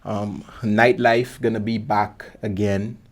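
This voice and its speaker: A man's voice: fairly low pitch, level tone, takes it slowly. Casual man hosting a podcast. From Guyana. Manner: informal, laid-back slangy phrasing. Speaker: podcast host. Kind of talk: casual conversational interview chat.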